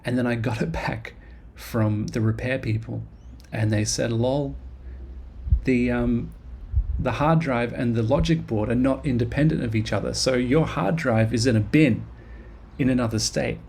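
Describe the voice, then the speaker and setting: A man's voice: mid-range voice, moderate pace, gravelly, darkish tone, a little hiss or hum. A man casually narrating his game development devlog, rambling and self-deprecating, with frequent ums and restarts. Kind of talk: casual devlog narration over screen recording.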